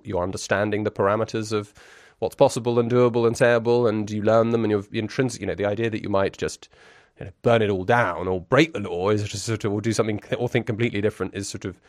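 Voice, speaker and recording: A man's voice: lowish voice, fairly even intonation, fast, no echo, studio quality. A man in his forties who grew up in Brussels, speaking as an interview guest. He talks in a reflective, articulate and wry way, full of restarts and fillers.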